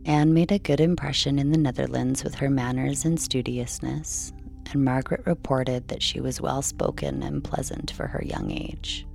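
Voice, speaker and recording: A woman reading a scripted bedtime story in a slow, measured, soothing delivery. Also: extremely low pitch, fairly even intonation, vocal fry. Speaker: sleep story narrator. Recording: soft music behind.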